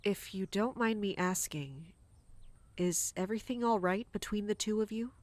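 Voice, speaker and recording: A woman's voice: mid-range voice, moderate pace, a little mellow, no room sound, close to an everyday voice. A woman playing a dutiful, guarded royal guard captain in an audio drama, speaking formally and deferentially. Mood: uneasy, concerned.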